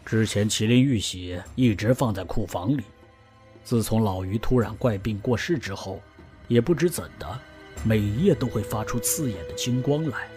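A man voicing a worried middle-aged uncle in an audio drama who speaks in a grave, measured way. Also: fairly low pitch, darkish tone, lightly acted. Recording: music bed behind.

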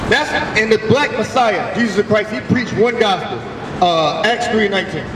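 Street preacher, man, high voice, commanding call-and-response, echoey street with a crowd, caught from far off. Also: animated, moderate pace, background noise. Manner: forceful call-and-response questioning. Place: city sidewalk outdoors.

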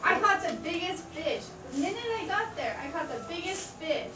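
Woman as a very high-voiced little girl bear. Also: animated, normal speed, loud music behind the voice. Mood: excited. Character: cheerful, eager little girl bear puppet. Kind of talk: children's puppet show acting. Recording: echoey, noisy room from far off.

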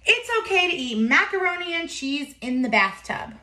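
A woman's voice: sits high, big pitch swings, moderate pace, pushed and bright. A woman in her thirties reading a picture book aloud to young children, expressively, with simple explanatory asides. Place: home kitchen, indoors. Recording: roomy, echoey home kitchen.

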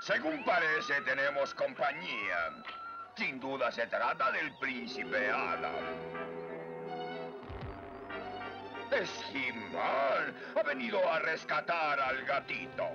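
A man voicing a scheming, beastly cartoon villain with a mocking, theatrical, drawn-out delivery. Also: mid-range voice, big pitch swings, vocal fry, full character voice. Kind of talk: dubbed cartoon acting. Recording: dull lo-fi cartoon soundtrack, music behind.

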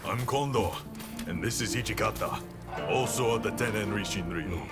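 An actor playing a stern, loyal samurai in a video game, speaking formally. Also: male voice, lowish voice, moderate pace, over loud music, natural voice. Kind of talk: video game cutscene acting.

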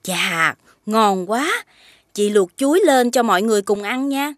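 An actress with a southern Vietnamese accent playing a kindly, modest, grateful village lady in an animated folktale, gentle and gracious. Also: mid-range voice, unhurried, natural voice.

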